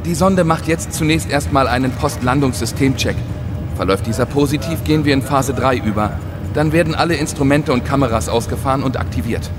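A man's voice: mid-range voice, fairly quick, music in the background, close to an everyday voice. Man acting dutiful technician in echoey control room. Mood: formal, proud. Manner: formal, crisp reporting and countdowns. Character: dutiful male Chinese space mission technician. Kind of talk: scripted German audio drama acting.

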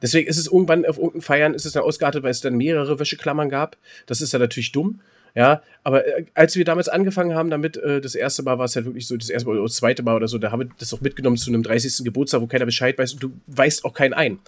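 A man with a mid-pitched voice talks quickly as a podcast co-host. He rambles through personal anecdotes in loose, colloquial chat. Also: fairly even intonation.

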